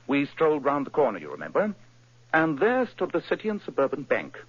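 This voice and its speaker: An actor playing a brisk, precise, coolly confident male detective in a 1940s radio drama, explaining his deductions crisply. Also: fairly high pitch, huge pitch swings, dry recording, heavily acted. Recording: dull old radio recording.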